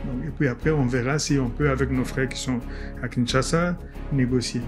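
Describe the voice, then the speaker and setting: A man from Congo, a guest in an interview, answering firmly with halting restarts. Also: mid-range voice, fairly even intonation, music in the background. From Democratic Republic of the Congo.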